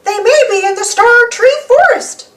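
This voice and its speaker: A woman voicing a friendly, squeaky male Martian mouse in a children's cartoon storybook. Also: normal speed, a bit bright, roomy sound, recorded from a distance. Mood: helpful. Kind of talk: children's storybook character reading.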